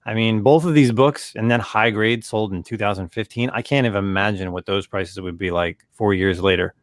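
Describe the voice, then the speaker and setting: A man co-hosting a casual podcast chat, relaxed and agreeable. His voice sits a little low, and the sound is dry and close. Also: fairly quick, no echo.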